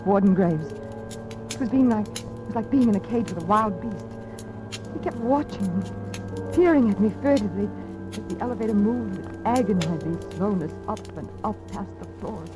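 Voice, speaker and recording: An actress playing a frightened woman hunted by a killer in a 1940s radio drama, with breathless, emphatic, halting delivery. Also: medium pitch, lightly acted, low-quality recording. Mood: dread. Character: frightened, agitated single woman hunted by her mother's murderer. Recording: vintage radio recording, faint music.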